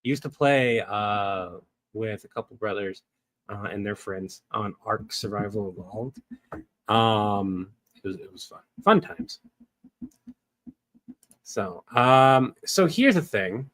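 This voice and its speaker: A man's voice: lowish voice, animated, slow pace, a bit bright, a little hiss or hum. A young man hosting a casual livestream, rambling to his chat with lots of fillers, from a small wood-paneled room on a desk webcam. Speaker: livestream host. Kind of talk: casual live-stream chat.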